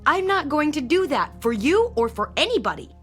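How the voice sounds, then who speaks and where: A woman's voice: sits high, sing-song, moderate pace, fairly bright tone. An actress playing an anxious, pleading woman who fears for her sanity in a television drama, speaking hesitantly. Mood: alarmed, pleading. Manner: hesitant, fearful. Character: anxious, pleading woman fearing she is losing her mind. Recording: music under the dialogue.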